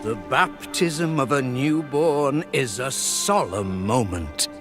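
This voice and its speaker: An actor playing an older priest in a dark fantasy game, measured, formal, courteous and slyly probing. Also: male voice, medium pitch, animated. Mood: solemn. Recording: music behind the voice. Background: music.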